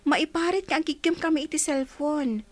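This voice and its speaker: Woman as high-voiced earnest Ilocano daughter. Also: normal speed, dry recording, lightly acted. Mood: caring. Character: earnest determined teenage daughter, idealistic student. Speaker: radio drama actor. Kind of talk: Ilocano radio drama acting. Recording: faint music behind.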